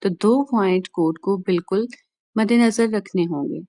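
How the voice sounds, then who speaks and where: A woman with a Pakistani accent giving an explanatory law lecture in a deliberate, instructional way, restating each point plainly. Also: medium pitch, mellow, dry recording, clean studio sound. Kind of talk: explanatory lecture mixing Urdu and English.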